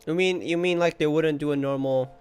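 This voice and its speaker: A man's voice: fairly high pitch, brisk. A man giving casual running commentary as he plays through a video game. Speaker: gamer commentating on playthrough. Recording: faint game music behind.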